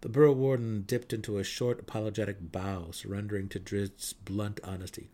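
Low-pitched man narrating fantasy fiction. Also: fairly even intonation, a little fast, dark tone. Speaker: audiobook narrator. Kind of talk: dramatic reading of fantasy fiction.

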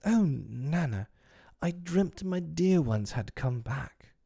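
A man voicing a timid, pleading mother, raised high. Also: animated, slow, vocal fry, dark tone, husky, heavily acted. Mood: wistful. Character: gentle anxious mother, woman, middle-aged. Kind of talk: audiobook character voice.

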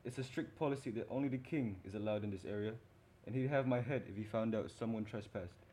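A man's voice: on the low side, quick pace, mellow, a little hiss or hum, lightly acted. A man playing a courteous, formal royal attendant, deferential but strict, in an audio drama.

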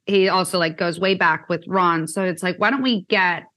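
Casting: young woman from Canada, musician guest, lower voice, rambling casual chat. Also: fairly even intonation, moderate pace, fairly bright tone. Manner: chatty, many fillers like "like" and "um".

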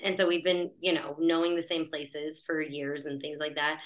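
A woman's voice: deep voice, little pitch movement, moderate pace. A woman telling a personal story as a podcast guest in a chatty, breezy way, laughing as she talks. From United States. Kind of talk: casual storytelling in conversation.

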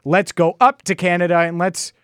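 A man chats casually as the host of a sports podcast. His voice is a little high, and the recording is dry and close. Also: little pitch movement, normal speed, fairly bright tone, smooth, dry recording. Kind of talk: casual chat.

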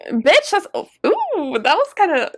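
Giggly high-voiced young woman co-host. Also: huge pitch swings, slow pace, fairly bright tone. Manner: giggly, swears freely, playful teasing. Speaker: podcast co-host. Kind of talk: casual late-night chat.

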